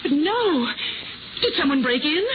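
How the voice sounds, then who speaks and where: Casting: fearful, pleading wife, drawn-out delivery, extremely high voice, vintage radio melodrama, dull sound. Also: female voice, very animated, noisy background, exaggerated voice. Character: frightened, pleading, devoted young wife. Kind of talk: 1950s radio melodrama acting. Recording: dull 1950s radio recording with music behind.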